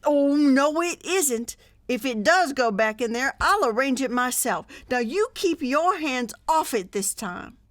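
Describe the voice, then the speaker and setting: An actress playing an exasperated, bossy wife in an old-time radio comedy, with an emphatic, theatrical delivery. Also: female voice, high voice, animated, measured pace, a bit bright, dry recording, studio quality. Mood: firm, bossy.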